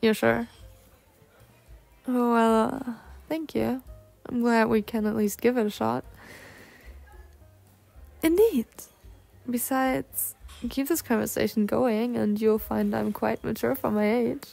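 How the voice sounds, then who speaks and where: A woman's voice: on the high side, fairly even intonation, takes it slowly, creaky, long pauses, a little mellow, soft background music. A young woman voicing a polite, bookish, insecure cougar-girl on a date in an ASMR roleplay, speaking formally with flustered hesitations. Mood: polite, relieved.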